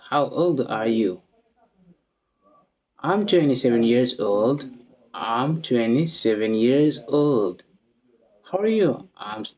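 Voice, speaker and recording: A man teaches English, reading each simple dialogue line twice. His pace is very slow and deliberate. The recording sounds dull and lo-fi. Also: medium pitch, a little mellow, husky. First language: Wolof. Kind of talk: slow read-along English lesson.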